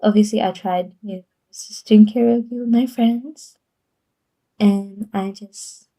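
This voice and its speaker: A woman's voice: medium pitch, fairly even intonation, takes it slowly, warm tone. A woman streamer chatting casually on a livestream, rambling and giggling often between sentences.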